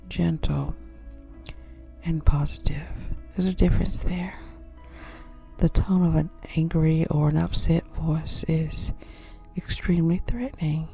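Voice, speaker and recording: A woman who runs a soft-spoken ASMR channel, teaching in a gentle whisper and repeating key phrases slowly. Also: sits low.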